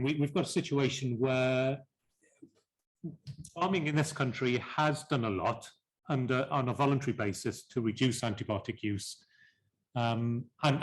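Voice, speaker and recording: A man chairing a panel discussion, polite and halting, often repeating words as he sums up. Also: medium pitch, rough recording.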